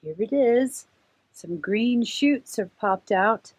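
Slow-paced woman reading to young children. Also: a little high, breathy edge, dry recording. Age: middle-aged. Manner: expressive, asks the listeners simple questions. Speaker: children's librarian story time host. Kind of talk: read-aloud storytelling for young children.

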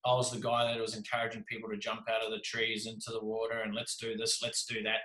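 A man in his thirties with a New Zealand accent, telling his story as a podcast guest on a video call, animated and jokey. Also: on the low side, fast. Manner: animated, gestures a lot, jokey. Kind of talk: casual storytelling. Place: small room indoors. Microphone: video call with desk mic. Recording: echoey room.

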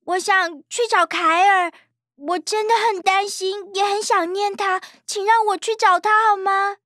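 A cautious little cartoon girl with a very high, small voice. She talks earnestly, sounding worried. The sound is dry and close. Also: steady tone, a little slow, a bit bright, no echo. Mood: pleading. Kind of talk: cartoon dubbing.

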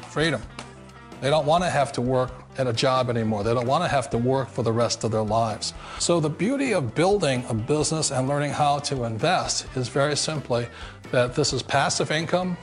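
An older man in an archive clip, teaching plainly and walking through a diagram at a whiteboard. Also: mid-range voice. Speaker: author and speaker.

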